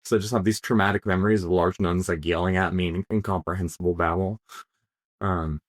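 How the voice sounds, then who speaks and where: A man whose first language is Polish, co-hosting a casual podcast chat in an animated, joking way with lots of fillers. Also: low voice, brisk. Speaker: podcast co-host.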